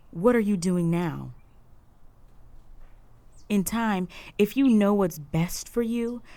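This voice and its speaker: A woman playing a stern, proud, possessive female orc chieftain in a roleplay audio drama, speaking in a formal, measured, authoritative way. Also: fairly low pitch, a little mellow, close to an everyday voice. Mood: possessive, exasperated.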